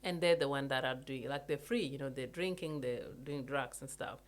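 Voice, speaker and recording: An earnest young adult speaker, chatting casually at a middle pitch. They circle back to make their point again and laugh lightly now and then. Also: brisk. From South Sudan. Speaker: guest in a conversation. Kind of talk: casual chat.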